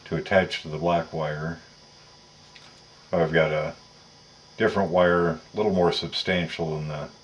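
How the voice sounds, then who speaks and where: Low-voiced man giving step-by-step instruction. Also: a little hiss or hum, poor audio. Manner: methodical, detailed, unhurried explaining. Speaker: electronics tutorial presenter. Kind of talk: step-by-step hands-on instruction, talking while working.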